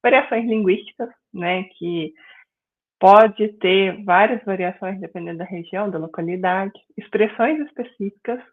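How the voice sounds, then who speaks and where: A woman's voice: mid-range voice, normal speed. Mineira woman teaching over a tinny call. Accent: Mineiro Brazilian Portuguese. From Minas Gerais, Brazil. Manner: friendly, explanatory, frequent "né" and "é" fillers. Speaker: guest instructor. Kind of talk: online class lecture. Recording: tinny phone-like online call audio.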